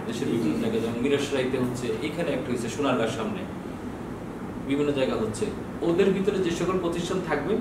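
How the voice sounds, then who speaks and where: A Bangladeshi man in his sixties explaining procedures in an informal lecture, often posing questions and answering them himself. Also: fairly high pitch. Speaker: customs bond official giving training. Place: small office with bookshelves, indoors. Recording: very echoey, distant mic, noisy room.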